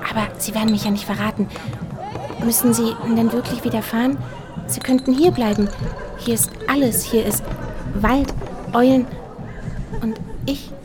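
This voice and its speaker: A woman's voice: on the high side, fairly even intonation, moderate pace, pauses often, over music, a crowd in the background, close to an everyday voice. An actress playing an eager, lovestruck young provincial woman who is awkward, chatty and confiding in a drama. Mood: shy, hopeful. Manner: gushing, hesitant, confiding. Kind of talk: acted German drama dialogue.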